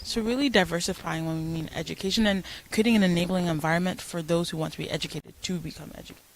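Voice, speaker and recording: A woman answering as a panel guest, speaking in a hesitant, halting way with pauses and fillers. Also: fairly low pitch, fairly even intonation, low-quality recording.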